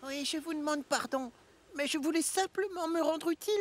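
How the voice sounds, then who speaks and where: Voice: sits high, a little fast, lightly acted. A performer voicing an eager, childlike, impatient train character in a French cartoon dub. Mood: apologetic. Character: eager, impatient young steam locomotive, plus giggling mischievous wagons.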